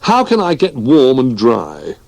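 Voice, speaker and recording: Man doing a damp storybook teddy bear, high-pitched and whiny. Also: wildly swooping pitch, unhurried, a little mellow, full character voice. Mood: plaintive. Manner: whiny, plaintive. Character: damp, cold, plaintive male teddy bear.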